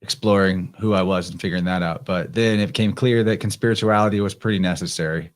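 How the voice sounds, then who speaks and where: A man's voice: deep voice, fairly even intonation, quick pace. A man chatting casually as a podcast host, laid-back and using plenty of slang. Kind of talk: casual chat.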